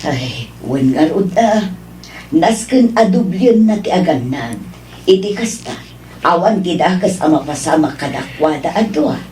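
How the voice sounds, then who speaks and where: A woman's voice: sits low, animated, a little slow, warm tone. A Filipino woman acting in an audio drama as a kindly, reassuring older mother, in a roomy recording with background noise.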